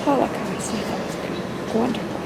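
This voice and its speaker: A woman with a fairly high voice talks casually, pointing out sights as she moves about. The recording is very noisy, full of urban traffic sound. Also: quick pace. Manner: pointing things out. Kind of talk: casual on-the-spot tour remarks.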